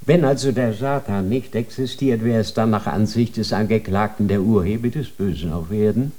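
A man's voice: fairly low pitch, moderate pace, mellow, natural voice. An actor playing a stern male inquisitor of a holy tribunal, interrogating in a cold, formal manner in a radio drama.

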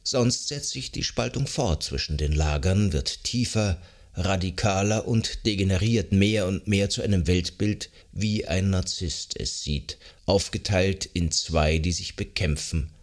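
A man reads scripted nonfiction as an audiobook narrator, in an articulate, measured, explanatory way. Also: sits low. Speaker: audiobook narrator of a psychology self-help book.

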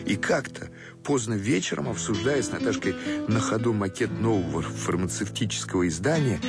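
A man giving a scripted literary narration in an expressive, wry storytelling manner. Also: on the low side. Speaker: narrator of a radio play. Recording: music behind the voice. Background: guitar music.

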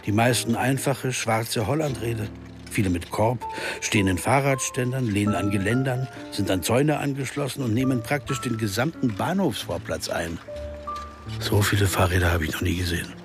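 A man plays a puzzled detective-story narrator with a fairly low voice. He muses tersely and wryly, putting questions to himself in radio-play style, with music clearly behind him. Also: moderate pace, natural voice. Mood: observant. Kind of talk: radio crime drama first-person narration.

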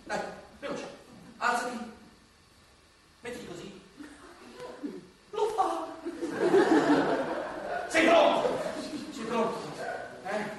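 A man playing a bossy, exasperated ghost coach, hectoring and excitable, in a comic radio play. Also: very high-pitched, drawn-out words, long pauses, heavily acted. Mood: bossy, impatient. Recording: echoey noisy hall, far from mic.

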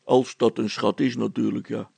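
A man puts on a sardonic village policeman for a children's story. He grumbles and needles in everyday speech, with a very gravelly, husky, hoarse voice at medium pitch. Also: flat delivery, moderate pace, dark tone, no room sound. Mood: sceptical. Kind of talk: children's story character acting.

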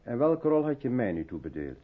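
A man's voice: medium pitch, sing-song, brisk, husky, phone-quality sound. An actor playing a brusque, reluctant man absorbed in his work, hesitant in manner, in a Dutch radio drama from the 1970s.

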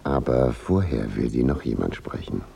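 A man's voice: very deep voice, animated, moderate pace, gravelly, warm tone, a little hiss or hum, heavily acted. An actor playing a slyly mocking henchman with drawling mock politeness in a radio crime drama. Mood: mock-polite.

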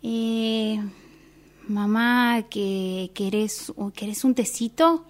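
Very slow, timid woman acting a grown daughter. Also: fairly high pitch, fairly even intonation. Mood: nervous, hesitant. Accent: Argentine. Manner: very halting, tentative. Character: timid, apologetic thirty-eight-year-old daughter still at home. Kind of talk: improvised radio theatre workshop exercise.

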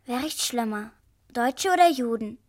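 A woman's voice: a little high, animated, unhurried, a little mellow. An actress playing a reserved young refugee girl who answers briefly and earnestly in a drama. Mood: wary. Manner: brief, matter-of-fact answers. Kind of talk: stage-style drama acting.

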